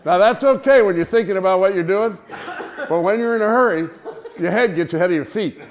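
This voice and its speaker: Higher-pitched man telling a story. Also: brisk. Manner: animated, theatrical storytelling with acted-out cries and dialogue. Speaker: storyteller, speaker to an audience. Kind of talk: humorous personal anecdote told live.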